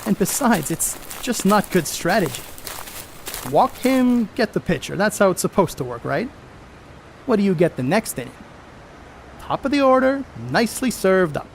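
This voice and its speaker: A man's voice: sits high, very animated, moderate pace, slight background noise. A man on stage playing a brash, cocky 1930s baseball star who blusters in folksy, profane slang. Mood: scornful, blustering. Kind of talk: amateur stage play acting.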